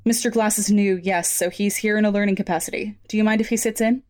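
An actress playing a cool, businesslike lab supervisor in an audio drama, composed and formal. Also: female voice, mid-range voice, steady tone, quick pace, darkish tone, lightly acted. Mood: polite, businesslike.

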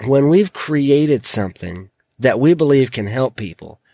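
A man hosting a solo podcast, his voice croaky from a sore throat, talking in an earnest, encouraging way. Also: mid-range voice, expressive, unhurried, warm tone, no echo. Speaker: podcast host.

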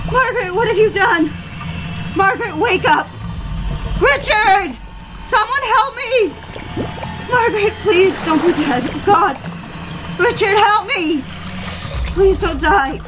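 A woman playing a frantic young secretary in an audio drama speaks in a very high-pitched voice. She pleads in halting, breathless bursts, devoted and desperate, with music behind her. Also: expressive, heavily acted, rough recording. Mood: panicked, pleading.

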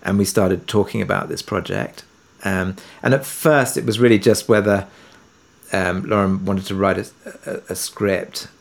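A man speaking conversationally as an interviewed producer, halting and self-effacing, often rephrasing as he goes. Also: low voice, fairly even intonation, vocal fry. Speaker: audio drama writer and producer being interviewed.

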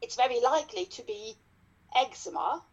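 A woman's voice: fairly high pitch, big pitch swings, slightly rough, poor audio. German-accented woman, guest dermatologist. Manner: careful, explaining step by step with pauses. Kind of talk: explanatory podcast interview.

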